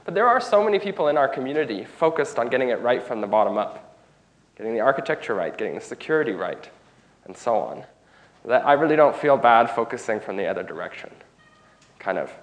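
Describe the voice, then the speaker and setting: Man, stuffed-up nose from a cold, conference talk, mid-pitched, casual, restarts phrases. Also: rough recording. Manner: informal, restarts phrases, engages audience. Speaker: conference speaker. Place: conference room.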